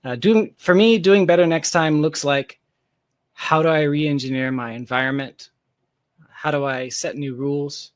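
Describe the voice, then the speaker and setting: A man's voice: on the high side, unhurried, no room sound. A man speaking as an interview guest, explaining his work casually and candidly with emphatic, self-deprecating asides. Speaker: interview guest, software founder.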